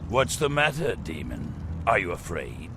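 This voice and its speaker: An actor playing a proud, suspicious human warlord in a video game, gruff and blustering. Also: male voice, low voice, wildly swooping pitch, background noise, full character voice. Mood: taunting. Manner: blustering, deliberate. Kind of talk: video game cutscene acting. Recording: game audio under clear music.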